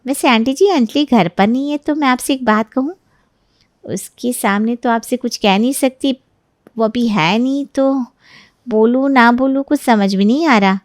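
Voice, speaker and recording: A woman acts out a scheming young wife from next door in a storybook drama. Her voice sits fairly high and is recorded dry and close. She is jealous underneath, sweet on top, and insinuates slyly with mock hesitation. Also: normal speed, no echo, lightly acted. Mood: sly, insinuating. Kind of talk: dramatized Hindi moral story acting.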